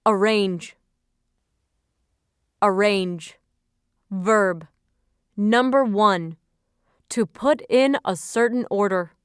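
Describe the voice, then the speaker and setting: Woman, educational read-aloud, careful enunciation, crisp voice, dry studio-like sound. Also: medium pitch, extremely slow, pauses often, dry recording. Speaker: vocabulary lesson narrator. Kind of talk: scripted educational read-aloud, dictionary entries and example sentences.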